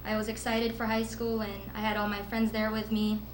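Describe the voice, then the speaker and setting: A woman's voice: mid-range voice, completely flat delivery, brisk, slight background noise. A teenage girl from the Philippines giving an earnest prepared speech as a student speaker at a school event. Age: teens. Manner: earnest, with nervous laughs. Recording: echoey room with faint ambience.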